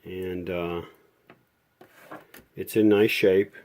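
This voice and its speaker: A man's voice: deep voice, level tone, very slow, darkish tone, slight background noise. A man talking casually and off the cuff as he gives a hands-on repair walkthrough, with folksy, rambling explanations. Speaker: radio repairer presenting a restoration video.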